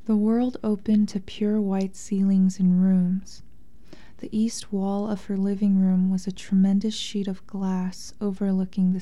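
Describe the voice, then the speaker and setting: Soft, very mellow woman, evenly pitched. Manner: hesitant, thoughtful, measured. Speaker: interviewed author and translator. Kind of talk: reflective literary interview talk and reading aloud from a memoir.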